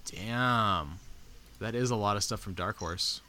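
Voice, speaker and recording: A man hosting a podcast in casual chat, excitable, swearing and reading out lists. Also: lowish voice, unhurried, slight background noise. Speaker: podcast host.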